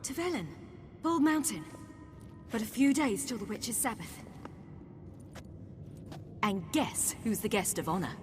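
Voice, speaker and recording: A woman's voice: a little high, sing-song, measured pace, pauses often, noisy background. An actress playing a headstrong, impatient young woman warrior who speaks bluntly and defiantly in a game cutscene. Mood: determined. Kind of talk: video game cutscene acting.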